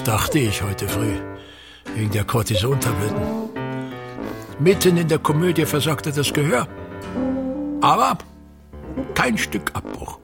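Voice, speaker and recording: An actor playing a pompous, embittered, self-pitying touring actor, grumbling and declaiming in a theatrical radio play monologue. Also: male voice, mid-range voice, vocal fry. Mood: brooding.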